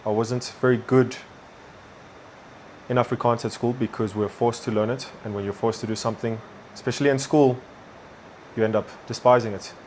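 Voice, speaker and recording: A man with a South African English accent giving relaxed, plainspoken answers in an interview. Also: medium pitch, brisk, pauses often, slight background noise.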